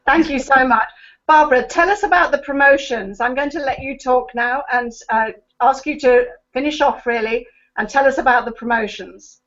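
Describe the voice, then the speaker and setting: A woman hosts an online meeting in a polite manner and laughs easily. Her voice is high, and the sound is dull and lo-fi, like a video call. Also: normal speed, a bit bright. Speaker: meeting host.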